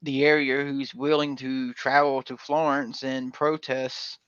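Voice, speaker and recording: A man's voice: medium pitch, level tone, a little slow, a bit bright, clear, resonant tone, no echo. A man from Georgia hosting a casual livestream panel, talking in a rambling, folksy way with lots of fillers. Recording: dull lo-fi dry stream audio.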